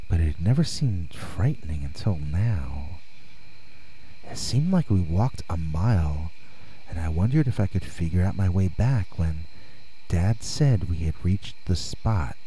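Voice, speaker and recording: A man reading a scripted first-person scary story in a measured way with dramatic pauses and a husky voice. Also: sits low, warm tone, slight background noise, low-quality recording. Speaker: narrator and reader of scary stories.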